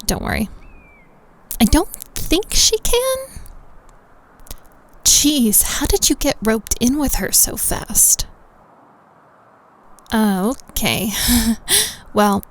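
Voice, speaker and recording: A woman playing a friendly, chatty young schoolgirl rescuer in a roleplay audio drama, with a casual, animated delivery. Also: fairly high pitch, extremely slow, long pauses, a little hiss or hum, heavily acted. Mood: relieved, chatty.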